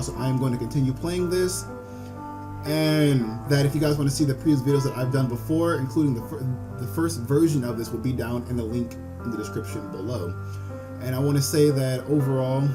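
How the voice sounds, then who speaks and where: A man hosting a visual novel let's play, reading aloud and commenting in a halting way with repeated phrases, over game music. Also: mid-range voice. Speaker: gaming video host. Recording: music behind the voice.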